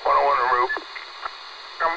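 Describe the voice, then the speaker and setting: Very heavy noise surrounds a male police officer calling in by two-way radio. He speaks in short procedural phrases at an average pitch, as on a live scanner feed. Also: steady tone, moderate pace, vocal fry, lo-fi sound. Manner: terse, procedural.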